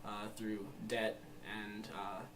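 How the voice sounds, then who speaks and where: A man's voice: fairly low pitch, flat delivery, slow pace. A 21-year-old American man giving informal, reflective interview answers in a rambling, hesitant way with many you-knows. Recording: distant mic, faint room noise.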